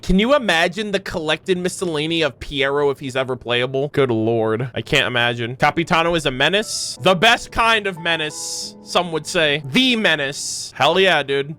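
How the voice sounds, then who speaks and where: A young man livestreaming casual, excitable reaction commentary, slangy and sweary, bantering mock-combatively with his chat over music. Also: fairly high pitch. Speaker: livestreamer reacting to game voice lines.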